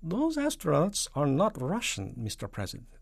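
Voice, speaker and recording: A man voicing an indignant Soviet premier in a children's story, formal and deliberate in his stresses. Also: on the high side, wildly swooping pitch, gravelly, husky, no echo, voice pushed up, full character voice. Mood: protesting, sly.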